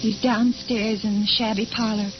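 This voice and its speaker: An actress playing a frightened, anxious wife in old-time radio suspense style, nervous, hesitant and pleading. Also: female voice, on the high side, level tone, normal speed, music in the background, natural voice. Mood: troubled, despairing. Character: frightened, anxious wife trapped with sinister husband. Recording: noisy, hissy, muffled old radio recording.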